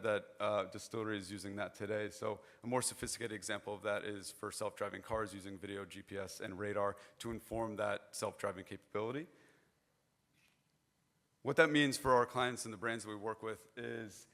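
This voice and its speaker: A hoarse young man giving a chatty, informal conference presentation on stage, often restarting his phrases. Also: medium pitch, fairly bright tone.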